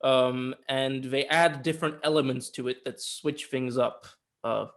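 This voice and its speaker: A man co-hosting a podcast, explaining and discussing a film in a casual, measured conversation. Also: mid-range voice, fairly even intonation. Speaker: podcast co-host. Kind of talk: casual film review chat.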